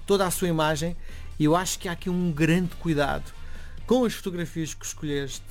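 A man's voice: fairly high pitch, a little fast, soft background music. A man with a European Portuguese accent, hosting a radio interview and reading the weather in a conversational way. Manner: conversational, probing questions. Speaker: radio host.

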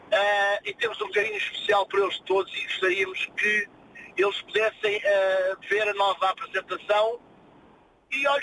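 A high-pitched man with a European Portuguese accent chats casually as an interview guest. His voice is bright and forceful. He sounds distant, over a noisy line from a moving vehicle. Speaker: interview guest, restaurant owner. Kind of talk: casual phone chat. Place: inside a vehicle on the road.

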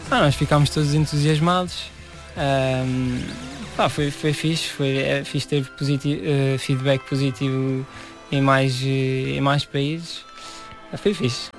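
A man's voice: mid-range voice, steady tone, slow, faint music behind. A young man from Portugal's Algarve with an Algarve accent, giving casual, hesitant interview answers sprinkled with English words. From Portimão, Algarve, Portugal. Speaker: musician, interview guest.

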